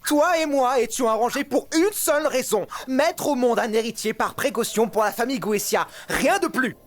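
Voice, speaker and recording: A woman's voice: a little high, moderate pace, close to an everyday voice. A woman voicing a theatrical male demon prince in a fan-dubbed adult cartoon. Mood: weary, resolute. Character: lovestruck, theatrical male demon prince, weary married father. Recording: music behind the voice.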